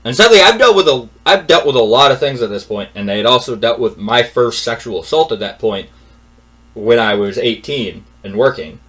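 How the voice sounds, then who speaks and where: A man's voice: mid-range voice, lively intonation, normal speed. Exasperated young man ranting a story. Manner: exasperated, repetitive, swings from mumbling to loud. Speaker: vlogger telling a personal story. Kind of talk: casual storytelling rant.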